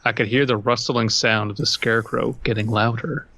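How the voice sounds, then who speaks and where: A man with a middling pitch and a very bright, forward tone. He chats and jokes as a podcast co-host and reads story lines aloud. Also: steady tone, brisk, vocal fry, no room sound. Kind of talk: casual joking chat and story reading.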